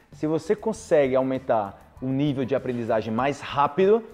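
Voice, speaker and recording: A man's voice: fairly high pitch, dark tone. A young man with a Brazilian Portuguese accent, a language teacher giving direct, persuasive advice and repeating words for emphasis. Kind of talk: casual advice video monologue.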